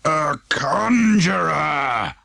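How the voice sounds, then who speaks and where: Gravelly, grumpy Daddy Bear. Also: male voice, on the high side, animated, very slow, bright, forceful tone, no room sound, pitched above the performer's own voice, full character voice. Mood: grumpy, blustering. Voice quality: growling, gravelly. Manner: grumbling, blustery, roaring. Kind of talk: children's storybook character reading.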